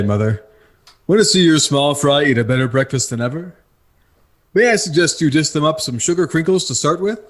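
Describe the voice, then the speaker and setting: Higher-voiced radio pitchman. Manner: folksy salesmanship. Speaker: commercial announcer. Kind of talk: recreated 1950s radio advertising pitch.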